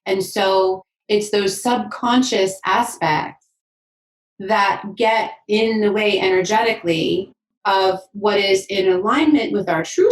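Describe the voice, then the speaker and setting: Woman host, upbeat and affirming, kinda echoey room sound. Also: medium pitch. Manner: enthusiastic, affirming, fast bursts. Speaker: podcast host. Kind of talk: conversational interview. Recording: roomy sound from a distant mic.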